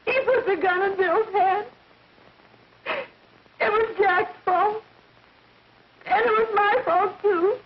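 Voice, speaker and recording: An actress playing a guilt-ridden, distraught woman making a halting, anguished confession in 1930s melodramatic film style. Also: extremely high pitch. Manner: anguished, halting, tearful delivery. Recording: dull noisy 1930s film soundtrack.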